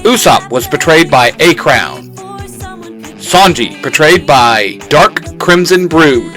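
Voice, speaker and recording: A man hosting his own podcast, reading out credits and giving shout-outs. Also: a little high, sing-song, slow pace, soft background music. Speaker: podcast creator and host.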